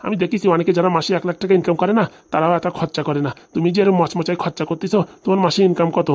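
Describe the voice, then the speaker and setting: A man plays a greedy, sentimental older village father-in-law for a cartoon. The voice is high for a man and races along very quickly, gushing and wheedling in a rural Bengali accent. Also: fairly even intonation. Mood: fawning, chatty. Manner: effusive, wheedling, rambling. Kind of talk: comedy cartoon dialogue.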